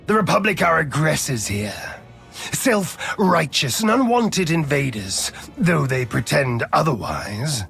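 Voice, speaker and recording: A cold, scheming Sith lord for a video game. His gravelly voice sits a little high and swings widely in pitch as he gives formal, imperious orders over music. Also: very animated, measured pace, a bit bright, heavily acted. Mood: scornful scheming. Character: arrogant, power-hungry male Sith lord, cold and scheming. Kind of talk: dramatic video game voice acting.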